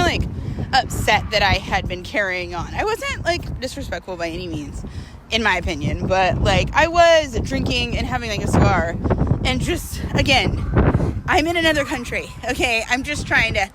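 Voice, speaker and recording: A woman's voice: high voice, noisy background. A young woman telling a personal story in a casual, chatty vlog style, slangy and full of informal asides.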